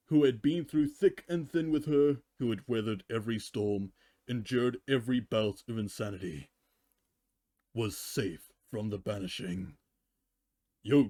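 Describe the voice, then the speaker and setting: A man narrates fan fiction at a slow pace. His voice is relaxed and mellow, and the sound is dry, as a streamer reading a story aloud. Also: lowish voice, dry recording.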